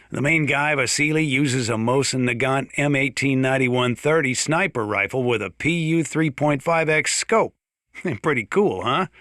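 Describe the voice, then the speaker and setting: An actor voicing a folksy, gun-loving sheriff in a video game, chatty and opinionated, as if hosting a radio monologue. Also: male voice, mid-range voice, pushed and bright, no echo, studio quality. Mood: fond, chatty. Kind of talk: scripted radio monologue, folksy instructional commentary.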